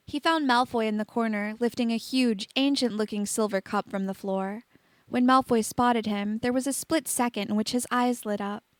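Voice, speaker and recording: A woman narrates a written story as its reader. Her voice sits fairly high, and the recording is close and dry, with very little room sound. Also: normal speed, no room sound. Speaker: fan fiction reader.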